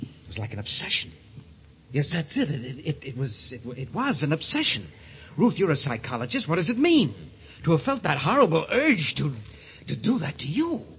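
An actor playing a tormented, obsessive newlywed professor on the edge of madness, halting and repeating himself in an old radio thriller style. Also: male voice, on the high side, sing-song, fairly bright tone, husky, heavily acted. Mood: troubled, bewildered. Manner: halting, repetitive, anguished. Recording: muffled, hissy old radio recording.

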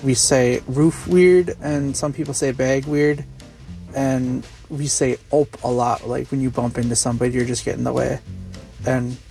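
A man's voice: medium pitch, fairly even intonation, normal speed, gravelly, warm tone, faint music behind. Easygoing man caller.

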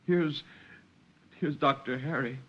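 A man voicing a gentle, worried grown daughter speaking softly and coaxingly at a deathbed in a story reading. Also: mid-range voice, slow pace, lo-fi sound, voice pushed up. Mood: anxious. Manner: soft, anxious, coaxing.

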